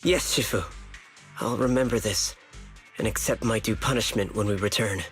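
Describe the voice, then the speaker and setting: An actor playing an earnest, dutiful young martial arts pupil in a video game, formal and deferential. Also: male voice, lowish voice, normal speed, a bit bright, faint music behind. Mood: contrite.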